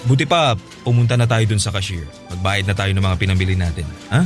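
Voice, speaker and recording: A man's voice: sits low, fast, natural voice. An actor playing an evasive, placating young male fiancé in Filipino radio drama, nervous and coaxing, with a Filipino accent. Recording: background music under the voice.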